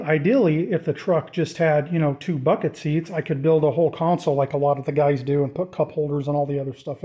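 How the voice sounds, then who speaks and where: A man giving a casual, off-the-cuff walkthrough of a DIY project, informal and thinking out loud as he goes. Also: on the high side. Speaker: DIY vlogger presenting a build.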